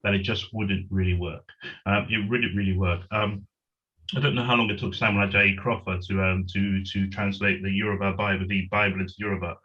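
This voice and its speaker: Deep-voiced man on a dull call line. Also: level tone, fairly quick. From Nigeria. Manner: rambling, self-correcting, pragmatic. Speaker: panel guest, writer. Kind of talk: discussion, webinar.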